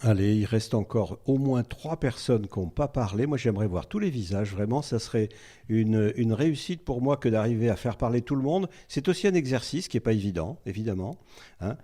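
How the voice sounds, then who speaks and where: A man's voice: medium pitch, lively intonation, a little fast, mellow. A man with a French accent moderating a classroom debate, encouraging and given to rhythmic repetition.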